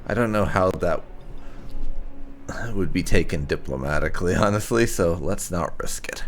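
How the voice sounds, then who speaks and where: A man giving casual commentary on a roleplay video game playthrough, musing and pausing between phrases. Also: deep voice, slight background noise. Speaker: gaming channel host.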